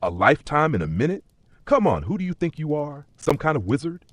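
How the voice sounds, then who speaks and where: A man playing a weary, doubtful corporate worker in a dark fantasy audio story, plain-spoken and skeptical. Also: fairly high pitch, sing-song, a little fast, dry recording, pitched above the performer's own voice.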